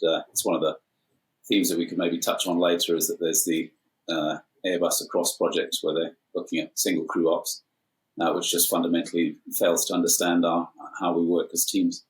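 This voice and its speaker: A man's voice: low-pitched, poor audio. A man in his forties from Zimbabwe, an airline captain talking as a guest in a casual chat, with many hesitant fillers.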